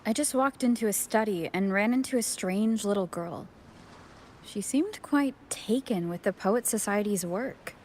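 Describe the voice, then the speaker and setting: A woman playing a calm and curious wandering heroine in a video game. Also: mid-range voice, a little slow, slight background noise, natural voice. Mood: reflective. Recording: game music behind.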